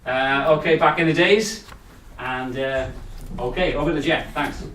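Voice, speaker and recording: A man's voice: medium pitch, unhurried, a bit bright, a little hiss or hum. A man announces the next performers at an open mic in a loose, informal way. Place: pub function room. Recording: distant echoey room with crowd murmur.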